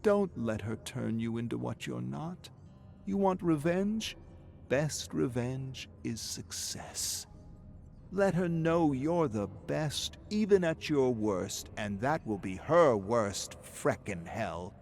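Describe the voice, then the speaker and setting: A man voicing a supportive, wise mother who speaks earnestly, deliberately and with emphasis. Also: medium pitch, animated, creaky, a little mellow, faint music behind, voice pushed up. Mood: firm, rousing. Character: supportive, wise middle-aged mother giving firm pep talks.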